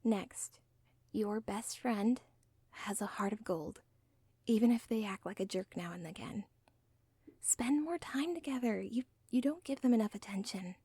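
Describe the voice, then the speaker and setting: Breathy whispering villain's daughter, acted by a woman. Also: mid-range voice, dark tone, no echo. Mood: firm, earnest. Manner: halting, deliberate, intimate. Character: villain's lovestruck, self-aware yandere daughter, nervous but devoted. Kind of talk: whispered ASMR audio roleplay.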